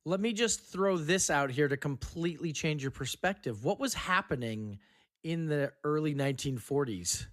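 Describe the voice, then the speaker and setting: A man's voice: a little high, lively intonation, moderate pace, a bit bright. A man hosting a casual podcast chat, leading the others through a guessing game.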